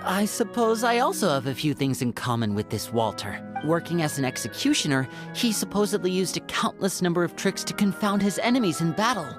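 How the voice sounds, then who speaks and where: An actress playing an elegant, theatrical performer in a fantasy video game. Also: female voice, fairly low pitch, very animated, brisk, few pauses, over music. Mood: wistful, admiring.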